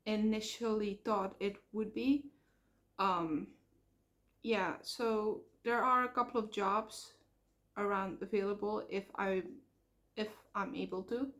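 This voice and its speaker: A woman with a Dutch accent talks straight to her viewers in a loose, personal vlog. Her voice sits at an everyday middle pitch. She speaks earnestly and rambles a little, filling gaps with "um" and catching her own slips. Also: a bit bright. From Netherlands. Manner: earnest, rambling with fillers and self-corrections. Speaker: vlogger. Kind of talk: casual personal vlog talk.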